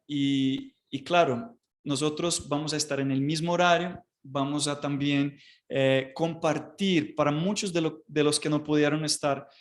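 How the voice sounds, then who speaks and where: A man hosting a live online program, speaking with frequent fillers and restarts. He is not from Colombia. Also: a little high. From outside Colombia. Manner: hesitant, many fillers and restarts. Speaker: host.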